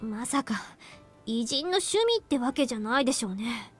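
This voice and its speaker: A blunt, excitable young woman in a visual novel game. She talks extremely fast with big swings in a high voice, full of disbelief. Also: slightly rough, soft background music. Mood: incredulous. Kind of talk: Japanese visual novel voice acting.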